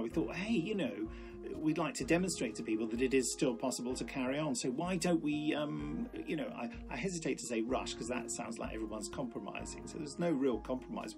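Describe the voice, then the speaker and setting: A man's voice: mid-range voice, vocal fry. A man explaining his production work conversationally in an interview, hesitating and correcting himself as he goes. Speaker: audio drama producer.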